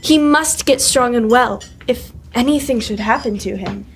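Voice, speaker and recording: A woman's voice: fairly high pitch, normal speed, close to an everyday voice. A young woman playing a caring, bustling mother in an old-time radio drama. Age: teens.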